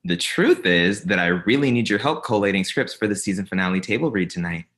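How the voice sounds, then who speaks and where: An actor at a comedy table read playing an aggrieved, smugly ambitious office rival, earnest and combative. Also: male voice, fairly low pitch, animated, a little fast, a bit bright.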